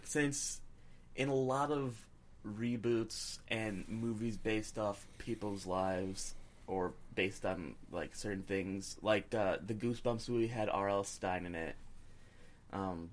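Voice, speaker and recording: A man's voice: lowish voice, unhurried. A man co-hosting a casual podcast chat, speaking informally in hesitant, wandering phrases. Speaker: podcast co-host.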